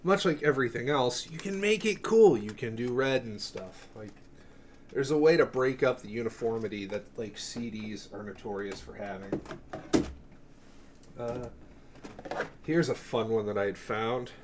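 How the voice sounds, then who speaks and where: A man's voice: medium pitch, normal speed, noisy background. A man giving an offhand, rambling show-and-tell as a collector, swearing freely and often interrupting himself. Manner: offhand, profane, self-interrupting. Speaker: music collector presenting a cassette collection.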